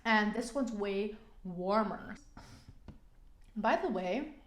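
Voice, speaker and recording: A woman's voice: medium pitch, takes it slowly. A young woman talks through her makeup routine in a loose, frank way, her voice stuffy and congested from a cold. She leans on "like" and "you know" as she goes. The sound is echoey, as if recorded in a bare room at home. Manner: chatty, frank, many "like" fillers. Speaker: beauty vlogger. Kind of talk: casual chat while doing makeup.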